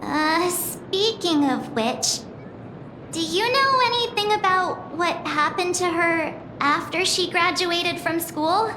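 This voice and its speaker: A woman's voice: extremely high pitch, slow, noisy background. An actress playing a perky, helpful young girl adventurer in a video game. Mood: eager, curious. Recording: echoey, loud background music.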